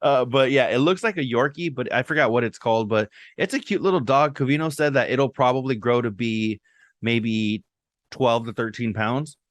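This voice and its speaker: A laid-back young man co-hosting a podcast, telling stories in a loose, slangy way with Spanish words mixed in. His voice sits at an ordinary pitch for a man. The recording is dry and close, with no room sound. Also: moderate pace, no room sound. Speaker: podcast co-host. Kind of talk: casual chat.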